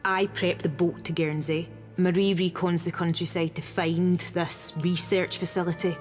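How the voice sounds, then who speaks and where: An actress as a decisive female agent with a lowish voice. She is practical and plain-spoken in a war game, with faint music behind her. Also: flat delivery, moderate pace, lightly acted. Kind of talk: dramatic game cutscene dialogue.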